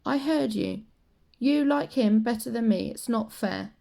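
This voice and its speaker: A woman's voice: a little high, a little slow, vocal fry, dark tone. A woman voicing an eager, pestering young girl in a children's storybook, with a pleading, whiny manner. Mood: aggrieved.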